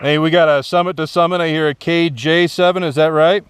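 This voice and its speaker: A man's voice: on the high side, steady tone, a little fast, slight background noise. A man working as an amateur radio operator outdoors, giving call signs phonetically and repeating himself for clarity. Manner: repeats letters and numbers for clarity. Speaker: amateur radio operator making contacts from a summit. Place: outdoors on a rocky summit.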